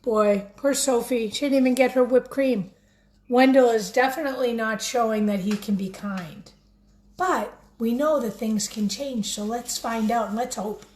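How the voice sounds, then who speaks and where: High-pitched woman reading to children. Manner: expressive, addresses children directly. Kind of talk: picture-book read-aloud with questions to young listeners.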